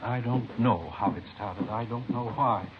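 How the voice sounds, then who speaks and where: An actor playing a worried high school principal with an American accent, earnest and hesitant, in 1950s radio drama. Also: male voice, unhurried, lightly acted. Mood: anxious. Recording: dull, hissy old radio recording.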